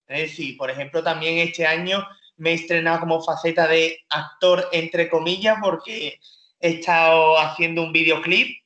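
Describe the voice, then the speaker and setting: A man's voice: on the high side, normal speed. A man, a comedian telling jokey, self-deprecating stories as a guest in a casual interview. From Spain. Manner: joking, self-deprecating, animated storyteller. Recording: roomy, dull lo-fi sound.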